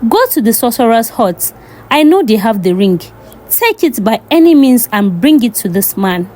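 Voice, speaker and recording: A woman's voice: fairly high pitch, sing-song, normal speed, dark tone. A woman storyteller voicing a bargaining, commanding king of the forest rats in a folktale.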